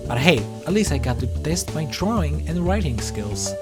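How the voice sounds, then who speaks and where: Animated man narrating a sarcastic comedic video. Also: a little high, moderate pace, music in the background. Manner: sarcastic, self-deprecating, theatrical. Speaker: YouTube creator and host.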